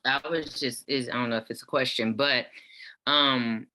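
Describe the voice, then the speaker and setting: Man, actor, bright forceful tone, middling pitch, casual meeting chat. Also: fairly even intonation, moderate pace, low-quality recording. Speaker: actor at a table read. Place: virtual meeting on a video call.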